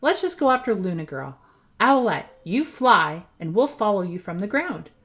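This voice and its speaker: A woman's voice: mid-range voice, very animated, normal speed, natural voice. A woman voicing a bold, encouraging young boy superhero leader in a children's storybook. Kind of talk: children's storybook character reading.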